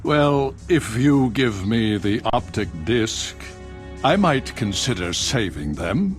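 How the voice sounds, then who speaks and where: A cold, scheming government official who speaks slowly and smoothly. His delivery is condescending and his pitch moves a lot. Game music runs behind him. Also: big pitch swings. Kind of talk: video game cutscene.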